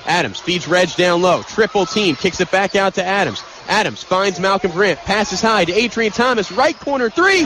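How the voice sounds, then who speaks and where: High-voiced man calling basketball over arena noise. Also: a bit bright. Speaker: radio play-by-play announcer. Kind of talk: live basketball radio commentary. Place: live from a college basketball arena. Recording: noisy arena radio broadcast.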